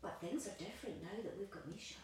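A woman's voice: mid-range voice, fast talker, a little mellow, close to an everyday voice. Actress playing an anxious, fussing mother, very echoey. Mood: insistent. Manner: insistent, nagging, doting on her baby. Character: anxious, fussing, controlling young mother and wife. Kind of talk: audio play acting. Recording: very echoey room, distant, faint hiss.

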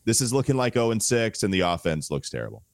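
A man with a deep voice co-hosts a casual sports podcast. He sounds under the weather, holding a strained voice at low volume. The recording is close and very dry. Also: big pitch swings, fast talker, vocal fry, dry recording.

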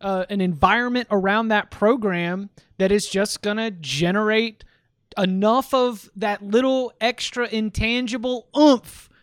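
A man's voice: high voice, a little slow, fairly bright tone, smooth, no echo, studio quality. A man hosting a sports podcast, talking things through casually and often restarting his words. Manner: rambling, repeats words while thinking.

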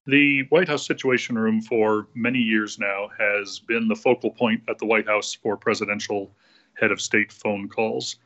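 A man's voice: lowish voice, fairly even intonation, normal speed, fairly bright tone, dull audio. An American man, a former official, explaining a procedure step by step in an interview, with frequent hesitations.

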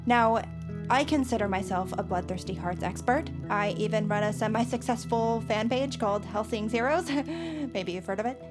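Woman as eager nerdy superfan, high voice. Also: moderate pace, music in the background, natural voice. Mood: urgent, pleading. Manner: rambling, earnest explanations. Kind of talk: scripted audio drama acting.

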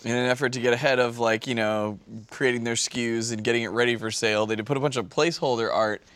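A man's voice: medium pitch, fairly even intonation, fast, a bit bright, clear, resonant tone, no room sound. A man co-hosting a podcast, casual and teasing with his friends. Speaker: podcast co-host. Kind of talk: casual group banter.

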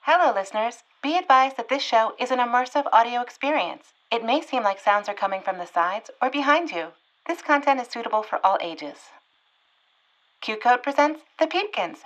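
A woman's voice: fairly high pitch, animated, normal speed, fairly bright tone, muffled recording. A woman reading a scripted show introduction as an announcer.

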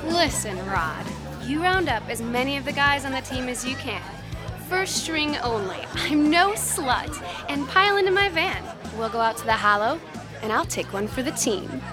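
High-pitched brazen teen cheerleader. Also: female voice, big pitch swings, normal speed. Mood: brazen, teasing. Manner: teasing, cocky. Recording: music and busy crowd behind.

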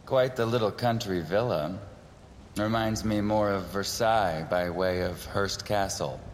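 An actor playing a blunt, irritable, wisecracking visitor in an audio drama, sardonic and impatient. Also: male voice, lowish voice, a little slow, a little hiss or hum, natural voice. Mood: dry, unimpressed. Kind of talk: naturalistic audio drama acting. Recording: rain and ambience behind the voice.

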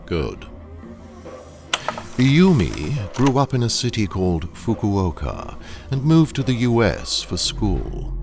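Ruthless corporate handler in an audio drama: low-pitched, creaky, smoothly controlling. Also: male voice, huge pitch swings, music in the background, full character voice. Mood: coaxing, falsely cheerful. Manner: slow, deliberate, coaxing. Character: ruthless, sinister male corporate training engineer, smooth and controlling.

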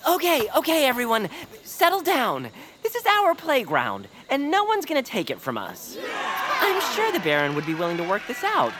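Young earnest peacemaker: highish, lively, calling out amid loud playground noise, audio comedy. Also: female voice, moderate pace, a loud crowd. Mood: rallying. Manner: earnest, projecting to a group. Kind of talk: comic audio drama acting.

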